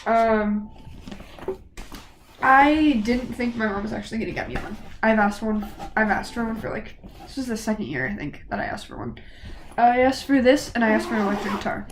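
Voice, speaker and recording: A teenage girl vlogging in a rambling, gushing way, her voice a little on the high side. She sounds slightly sniffly as she gets over a cold, and there is a faint hiss behind her. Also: lively intonation, moderate pace. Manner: rambling, gushing fan talk. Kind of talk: casual chat to camera.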